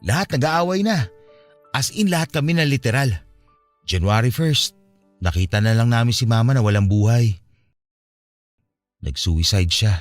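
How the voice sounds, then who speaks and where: Actor as earnest young man, low confiding voice. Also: expressive, moderate pace, long pauses, close to an everyday voice. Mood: dismayed, grieving. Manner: conversational, confessional. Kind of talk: radio drama dialogue. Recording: music behind the voice.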